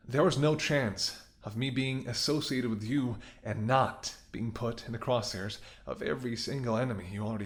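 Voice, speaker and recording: A man's voice: mid-range voice, close to an everyday voice. A man acting in a romantic audio roleplay as a devoted, stubborn ex-bodyguard, speaking earnestly and intimately with emotional intensity. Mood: exasperated, intense. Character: devoted gruff ex-bodyguard, fiercely loving, stubborn and protective.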